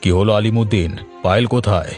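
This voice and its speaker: Grave village exorcist for a cartoon, played by a man: low, authoritative, earnest, with faint music underneath. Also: quick pace, darkish tone, close to an everyday voice. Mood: urgent, stern. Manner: earnest, stern, commanding. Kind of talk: Bengali cartoon voice acting.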